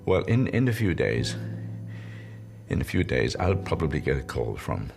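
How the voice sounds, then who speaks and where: An actor playing an elderly comedian in a television drama, speaking tenderly and haltingly as he grieves beside his dying partner. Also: rumbling low, very animated, gravelly, music in the background, full character voice. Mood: wistful. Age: older. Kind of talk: intimate television drama monologue.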